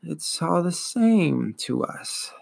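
A man voicing an ancient, weary female swamp turtle who wheezes and gurgles, drawing out every word slowly and without interest. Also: a little high, big pitch swings, creaky, pitched above the performer's own voice, full character voice. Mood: weary, indifferent. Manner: very slow, drawn-out, apathetic.

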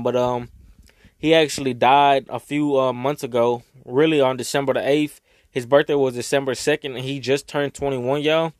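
A young man talking casually and emotionally to his viewers, rambling and swearing, his voice raspy from crying. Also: medium pitch, darkish tone, no room sound, studio quality. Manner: rambling, swearing, heartfelt, Southern US slang. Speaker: vlogger. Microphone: own microphone.

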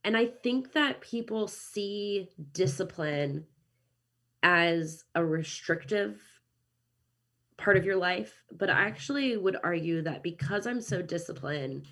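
Podcast guest: American woman, early thirties, low husky voice, upbeat and chatty. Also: slow pace. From Kansas City, USA. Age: 30s. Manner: upbeat, animated, chatty with many restarts. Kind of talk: casual interview chat.

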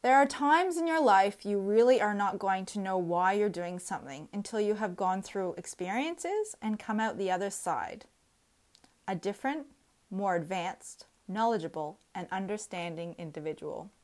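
A woman in her thirties reading her own personal essay aloud in an earnest, reflective, measured way. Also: medium pitch, expressive. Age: 30s.

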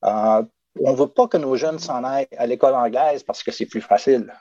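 Gravelly dark-voiced man. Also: mid-range voice, normal speed, no room sound, lo-fi sound. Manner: hesitant, many restarts and fillers. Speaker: panel guest. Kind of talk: discussion panel.

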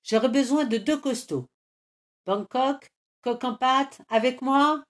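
A woman's voice: on the low side, very animated, measured pace, darkish tone. A woman voicing a brave young rooster boy, giving bold, rousing calls.

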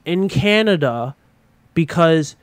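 A young man chatting casually about a film, excitable and prone to getting carried away. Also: on the high side, slow pace, dry recording. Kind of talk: casual chat.

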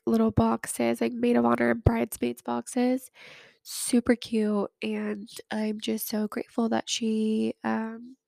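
Nasal young woman podcaster, gravelly and flat. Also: a little high, no room sound. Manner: chatty, fillers like "like" and "um". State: sick, recovering from a cold. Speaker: podcast host. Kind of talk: casual chat.

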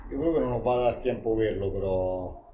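A man's voice: lowish voice, a little fast, noisy background. Rambling Italian-born man in his forties. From Italy, raised in Venezuela. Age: 40s. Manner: rambling, trails off, repeats himself. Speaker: podcast guest. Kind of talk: casual conversation.

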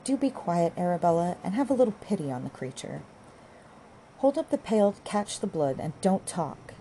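A woman's voice: lowish voice, big pitch swings, normal speed, warm tone, slight background noise, rough recording. A woman voicing a tender-hearted young countryman, earnest and pained, in an audiobook. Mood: urgent, pitying. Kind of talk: audiobook character dialogue.

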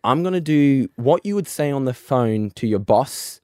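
A hoarse man hosting a radio show, his voice rough from a flu. His pitch swings widely as he teases and laughs. The studio sound is dry and close, with no echo. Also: lively intonation, moderate pace, a little mellow. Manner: playful and teasing, laughs often. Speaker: radio co-host. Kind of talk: casual radio banter.

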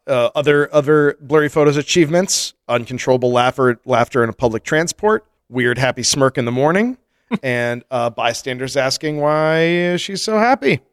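A man reads listener mail on a comedy podcast, cracking jokes and laughing often. His pitch leaps around a lot. The sound is dry and close, with no room echo. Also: normal speed, clear, resonant tone. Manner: joking, laughs often, animated. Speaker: podcast co-host. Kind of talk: casual comedic chat, reading listener mail.

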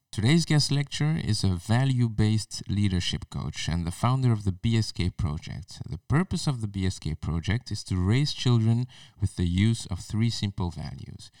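A man's voice: low-pitched, dry recording. A male podcast host introducing a guest and asking earnest, encouraging questions. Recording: dry, mellow, slightly distant mic.

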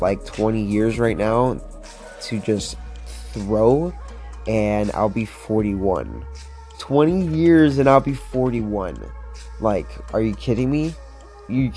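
A man in his twenties from Florida talks straight to his listeners in a loose, motivational podcast monologue. He sounds earnest and upbeat, his sentences dotted with "like" and "um". Soft music plays faintly underneath his mid-pitched voice. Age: 20s. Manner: earnest, upbeat, many fillers like "like" and "um". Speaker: podcast host.

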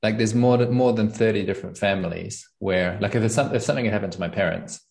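Thoughtful New man, low voice. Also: fast talker, gravelly, a little mellow. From New Zealand. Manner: thoughtful, searching for words, frequent ums and laughs. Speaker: podcast guest and channel host. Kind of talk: casual conversational interview.

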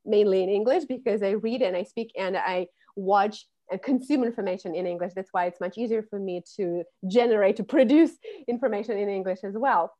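A woman's voice: medium pitch, fairly quick, few pauses, mellow. A woman, a public speaking coach who explains things fluently in an interview. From Russia. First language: Russian. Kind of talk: podcast interview.

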